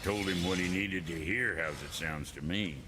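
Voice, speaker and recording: An actor playing a crude, gruff male dwarf blacksmith in a video game, gravelly and brusque. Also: low voice, moderate pace, over music, lightly acted. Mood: smug. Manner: brusque, cantankerous, swaggering. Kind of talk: video game character acting.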